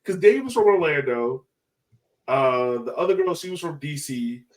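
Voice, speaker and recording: A higher-voiced man hosts a casual sports fan podcast, sitting slightly back from the mic. He talks in excited slang, curses freely and repeats his phrases for emphasis. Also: moderate pace, recorded from a distance, over a noisy crowd. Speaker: podcast host.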